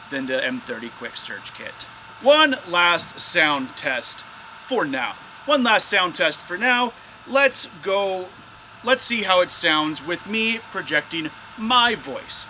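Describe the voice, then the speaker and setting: A man's voice: a little high, bright, forceful tone, slight background noise, poor audio. A man hosting a casual, hands-on product test of drone gear as a reviewer.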